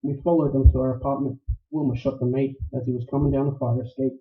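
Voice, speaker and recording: An actor in a noir radio play, playing a suave, courtly older criminal mastermind who speaks with florid, deliberate politeness. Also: male voice, mid-range voice, level tone, natural voice. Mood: smooth menace. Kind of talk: staged radio play acting, 1940s noir. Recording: roomy, dull live stage recording.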